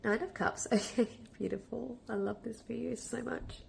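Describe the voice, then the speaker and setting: A woman with a cold, a bit nasal and croaky, giving a casual, reassuring tarot reading full of fillers and restarts. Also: a little high, steady tone, normal speed, slight background noise. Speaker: tarot reader.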